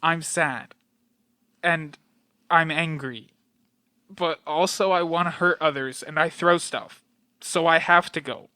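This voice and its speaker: A man's voice: fairly high pitch, slow, pauses often, sharp tone, smooth, no echo. An actor playing a troubled young man, guarded, wry and sad, in an audio play. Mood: sad, resigned.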